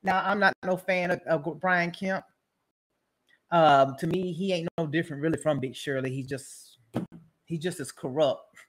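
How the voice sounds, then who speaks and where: A woman's voice: low voice, moderate pace. A woman giving casual, profane roast commentary as a show host, brash and mocking, rambling and repeating her phrases.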